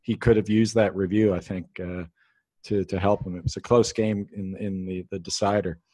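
A man hosting a casual sports chat, speaking haltingly with many "uh" fillers. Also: sits low, little pitch movement, darkish tone.

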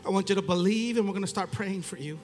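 A man's voice: sits high, quick pace, faint music behind. A man with a Mexican accent preaching a Pentecostal sermon as a missionary, fervently calling for "Amen" from the congregation. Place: church.

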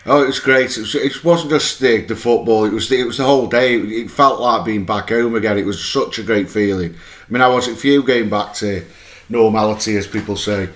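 Man, hoarse and low, keen podcast host; roomy sound. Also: brisk. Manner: chatty and eager, with restarts. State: hoarse, losing his voice. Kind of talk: casual fan podcast chat.

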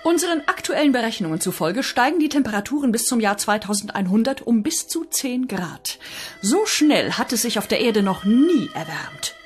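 Celestial briefer, matter-of-fact, commanding, animated intonation, faint music, comedic radio play. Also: female voice, a little high, moderate pace. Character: brisk, commanding female climate briefer in a heavenly agency.

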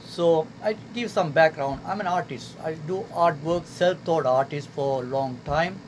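A man in his sixties from Sri Lanka, speaking earnest, halting English as a guest in a casual radio interview. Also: a little high, a little hiss or hum. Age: 60s. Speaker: guest artist.

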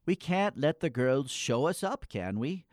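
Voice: medium pitch, big pitch swings, measured pace, a little mellow, dry recording, clean studio sound. A performer playing a morose, exasperated boy in a comic radio play, with theatrical, old-fashioned phrasing. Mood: determined. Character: Eddie Poe, morose, exasperated boy in a spooky parody.

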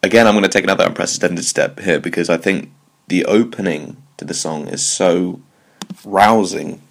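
A man's voice: low-pitched, moderate pace, vocal fry. A British man hosting a solo music commentary show, talking in a wry, digressive way with jokey asides. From United Kingdom. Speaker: podcast host.

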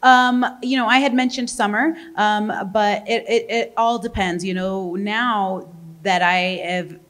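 A woman's voice: medium pitch, fairly even intonation, measured pace, fairly bright tone. A young adult woman, a professional fighter, answering interview questions in a candid, talkative way. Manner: candid and talkative, laughs easily.

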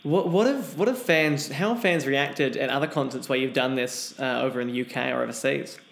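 A man's voice: mid-range voice, a little fast, vocal fry. A man hosting an interview and asking polite questions in an echoey room. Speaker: interview host.

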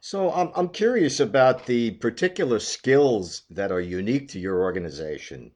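A man's voice: mid-range voice, sing-song, normal speed, darkish tone. A man asking structured questions as the interviewer in a business interview.

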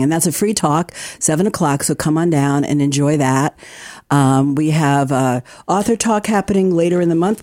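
A woman's voice: low voice, dark tone, no room sound, studio quality. A woman hosting a radio program in a conversational, upbeat and promotional way. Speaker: radio host, library community partnership director.

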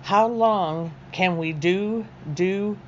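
Lady reading her poems slow n careful, kinda low voice, bit muffled lofi audio. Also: a little mellow, slight background noise. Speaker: poet reading own work. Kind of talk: poetry recital.

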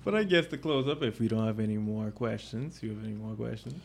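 A man's voice: lowish voice, fast, creaky, a little hiss or hum. A man co-hosting a podcast, chiming in with short remarks and easygoing questions. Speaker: podcast co-host. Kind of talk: casual chat.

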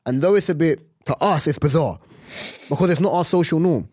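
Husky man, emphatic podcast talk. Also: fairly high pitch, brisk, dry recording, studio quality. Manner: animated, emphatic, jokey. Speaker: podcast co-host. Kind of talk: casual podcast chat.

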